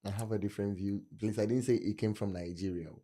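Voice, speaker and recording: A man's voice: on the low side, steady tone, quick pace, warm tone. A man, a panel guest explaining his view firmly at length in a studio talk. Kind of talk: casual panel debate. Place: studio with woven cloth backdrop. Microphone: studio mic on a stand.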